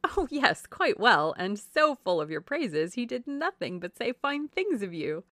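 A woman reader acts out a silly young married gentlewoman from a classic novel. She gushes and exclaims, her pitch hopping upward as she chatters, in a very dry, close recording. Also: sing-song, a little slow, no room sound. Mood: gushing, delighted. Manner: effusive, exclamatory, prone to giggling. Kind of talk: classic novel audiobook dialogue.

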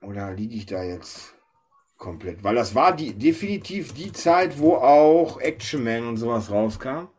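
A man's voice: medium pitch, expressive, unhurried, a little mellow, slightly rough. A man hosting a podcast, chatting casually and informally about pop culture with his co-hosts. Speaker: podcast host.

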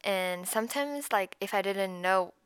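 A woman's voice: medium pitch, a little slow, fairly bright tone, clear, resonant tone, dry recording, clean studio sound. A woman whose first language is Japanese, speaking English hesitantly as a podcast guest, with many fillers and restarts. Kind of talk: casual chat.

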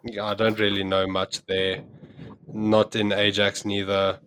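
A man's voice: on the low side, completely flat delivery, a little slow, fairly bright tone. A man hosting a casual football podcast, running through the fixtures in an easygoing way. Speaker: podcast host.

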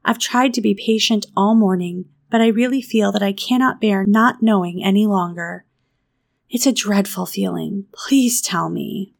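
A woman's voice: medium pitch, moderate pace, creaky, pitched above the performer's own voice, lightly acted. A woman voicing an eager, talkative eleven-year-old orphan girl, earnest and pleading. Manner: earnest, pleading, breathless with feeling.

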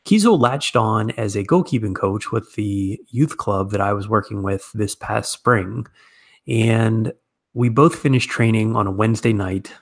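A man's voice: lowish voice, creaky. A man with an American accent, speaking as a podcast host and interviewer. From United States. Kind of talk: podcast introduction and conversational interview.

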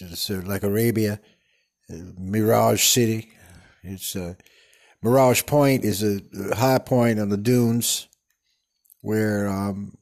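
Man voicing an old Texan-accented Western actor, low-pitched voice, a meandering storyteller who keeps losing the thread. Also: darkish tone. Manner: meandering, digressive storytelling with many stumbles. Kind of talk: improvised comedic character monologue. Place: studio.

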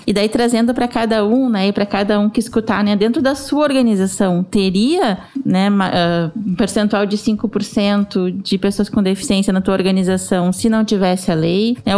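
A woman, a guest on a podcast, explaining a corporate program in a measured way with frequent tag fillers. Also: medium pitch, a little mellow. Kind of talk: explanatory interview answers.

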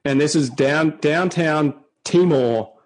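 A man's voice: on the high side, slow. A man hosting an interview podcast, listening closely with frequent short affirmations and asking follow-up questions. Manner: attentive, frequent short backchannels. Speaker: podcast host. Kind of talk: casual interview.

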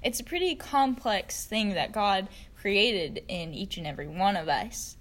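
A woman's voice: medium pitch, lively intonation, a little slow. Giggly woman with a Virginia accent. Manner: giggly, easygoing. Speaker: podcast co-host. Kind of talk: casual chat.

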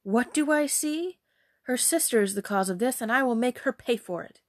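A woman's voice: medium pitch, normal speed, darkish tone, close to an everyday voice. A woman voicing a harsh, greedy, commanding mother in a storybook tale, full of exclamations. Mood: outraged.

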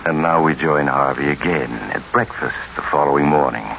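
Very deep-voiced sinister radio storyteller. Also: male voice, brisk, vocal fry, loud music behind the voice. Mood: wry narrating. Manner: theatrical, wryly ominous, addresses listener. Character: the Mysterious Traveler, sinister, suave, teasing male storyteller. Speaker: host and narrator. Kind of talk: 1940s radio mystery narration. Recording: noisy, muffled old-time radio recording.

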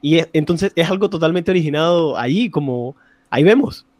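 A man with a Chilean Spanish accent talks as a podcast guest, using street slang and restarting his sentences. His voice sits a bit high and sounds dry and close. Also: lively intonation, a little fast, a little mellow, no room sound. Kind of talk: casual podcast chat.

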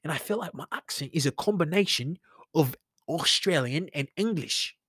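A man's voice: fairly high pitch, expressive, moderate pace, a bit bright, husky, no echo, studio quality. A man hosting a solo podcast alone in his room, thinking aloud in a rambling, conversational way into a mic with headphones on. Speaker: podcast host. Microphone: studio mic with headphones.

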